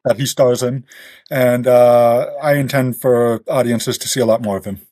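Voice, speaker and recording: A man's voice: mid-range voice, steady tone, normal speed, a little mellow, dry recording. A man speaking as a guest author in a casual podcast interview, explaining things in detail in a conversational way. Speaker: guest author of western fiction.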